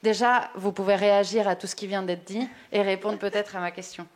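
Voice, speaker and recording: A woman journalist moderating a round-table, introducing a guest with halting, filler-heavy phrasing. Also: mid-range voice, steady tone. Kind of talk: panel moderation.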